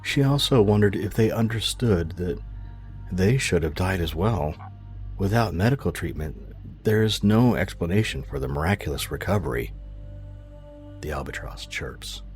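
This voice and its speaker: A man narrating a scripted fiction podcast in a measured, grave, dramatic storytelling manner. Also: low voice, animated, a little mellow, faint music behind.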